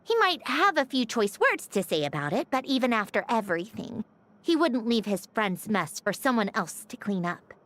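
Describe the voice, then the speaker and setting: A woman playing a proud, aloof young woman in a video game, speaking in a dry, measured, haughty way. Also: on the high side, big pitch swings, fairly bright tone. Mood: wistful. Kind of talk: video game character acting.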